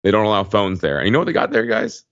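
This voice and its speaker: A man co-hosting a podcast, talking casually and informally in halting bursts with many asides. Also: lowish voice, very animated, a bit bright, no echo, dull audio. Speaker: podcast co-host. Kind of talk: casual conversational podcast talk.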